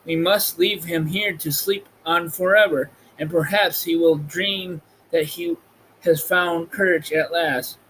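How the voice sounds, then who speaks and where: A man's voice: fairly high pitch, steady tone, measured pace, fairly bright tone, slight background noise, rough recording. A man voicing a caring, earnest tin woodman in a storybook reading. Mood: sad.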